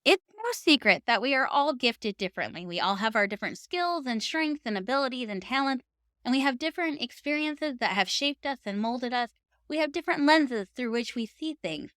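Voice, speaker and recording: A woman's voice: a little high, fairly bright tone, clear, resonant tone, no room sound, studio quality. A woman speaking as a podcast host, giving advice in an earnest, conversational and encouraging way. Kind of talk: encouraging advice talk to camera for writers.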